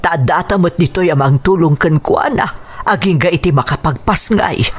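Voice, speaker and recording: A woman's voice: on the low side, normal speed, breathy edge. An actress playing a caring, persuasive middle-aged family woman in a radio drama, with a Filipino accent. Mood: firm. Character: caring, persuasive middle-aged mother and elder sister, practical. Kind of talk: Ilocano radio drama acting.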